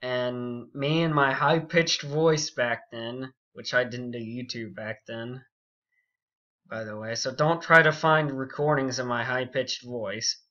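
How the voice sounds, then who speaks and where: A young man telling a story casually and unscripted, rambling and halting, with frequent asides. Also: mid-range voice, fairly even intonation, sharp tone. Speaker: YouTube storyteller. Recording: dull, muffled lo-fi audio.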